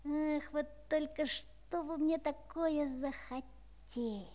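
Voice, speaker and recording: A woman playing a mischievous, touchy little boy figure in a children's audio play, animated and exclamatory. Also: high voice, slow. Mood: sulky, defiant.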